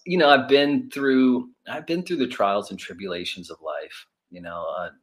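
A man's voice: mid-range voice, steady tone, normal speed. A man in his forties hosting a faith interview, speaking emphatically with fillers and restarts. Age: 40s. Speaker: host. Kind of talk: conversational interview.

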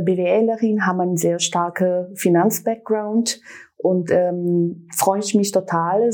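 A Brazilian woman with a Portuguese accent chats as a talk show guest, pausing often on fillers but sounding keen. Her voice sits fairly low and a little husky. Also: mellow. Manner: hesitant, many fillers, enthusiastic. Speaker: guest, brand manager.

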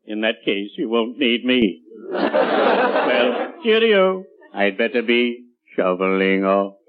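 An actor playing a genteel, mannered middle-aged undertaker fond of puns in a 1940s radio comedy. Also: male voice, medium pitch, sing-song, takes it slowly, slight background noise. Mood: unctuous. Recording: dull vintage 1940s radio recording.

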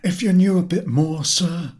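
A man's voice: high voice, moderate pace, darkish tone, pitched above the performer's own voice, close to an everyday voice. Man putting on a folksy, stubborn old yacht captain. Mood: irritated. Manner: deferential, folksy rustic speech. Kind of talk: storybook character voice.

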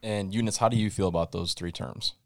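A man's voice: lowish voice, a little fast, no echo, studio quality. A young man from the United States hosting a casual podcast discussion and putting questions to his guests. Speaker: podcast host. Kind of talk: casual interview moderating.

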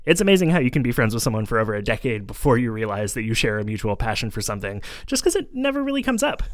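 A man's voice: medium pitch, big pitch swings, very fast, dry recording. A man hosting a podcast from a studio, chatty and friendly, with many filler words. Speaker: podcast host. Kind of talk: casual chat. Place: home studio.

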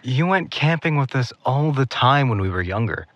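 A man's voice: mid-range voice, animated, normal speed, a bit bright, no room sound, muffled recording. A man playing a guarded, stubborn young man in an audio drama, with an understated, hesitant delivery. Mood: practical, teasing. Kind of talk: naturalistic audio drama acting.

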